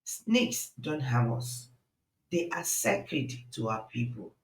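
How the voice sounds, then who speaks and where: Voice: medium pitch, very animated, slow pace, roomy sound. A storyteller voicing a stern father who gives insistent, grave warnings in a dramatic story. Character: stern, alarmed African father revering snakes.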